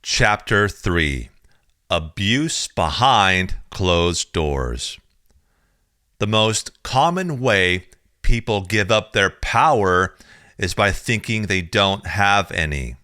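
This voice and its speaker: A man reading a chapter of his own book aloud in a slow, measured, deliberate way, recorded close on a studio mic. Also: deep voice, sing-song, pushed and bright, no room sound. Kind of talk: audiobook reading.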